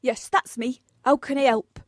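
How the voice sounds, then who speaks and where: A genteel British office boss, played by a woman in a comic radio play, with a fairly high voice and a dry, close sound. She is breezy and unflappable, keeping polite, formal business manners however absurd the request. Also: fairly even intonation, normal speed, no echo. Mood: polite, brisk.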